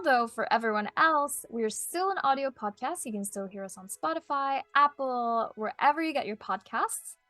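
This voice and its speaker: A woman's voice: high voice, fairly bright tone, dry recording. A young adult woman hosting a podcast interview, chatty and bubbly, laughing often. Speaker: podcast host. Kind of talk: casual interview chat.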